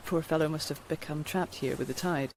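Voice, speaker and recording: A woman playing a precise, absorbed naturalist in an audio drama, with a measured, scholarly manner. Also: deep voice, background noise. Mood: absorbed, studious.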